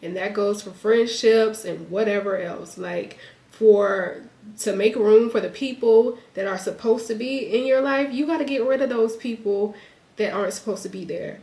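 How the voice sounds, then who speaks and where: A woman's voice: mid-range voice, moderate pace, warm tone. Young woman vlogging, stuffy nose, lots of likes and ums, echoey room. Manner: chatty, lots of "like" and "um". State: a bit congested. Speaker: YouTuber. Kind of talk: casual sit-down chat to camera.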